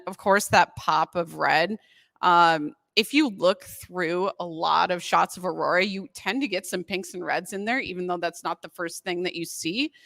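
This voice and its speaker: Brief: woman designer, lower, bright voice, dry close sound, casual with ums. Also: no echo. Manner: frequent ums, casual and upbeat. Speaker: brand designer presenting. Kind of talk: presentation on a live stream.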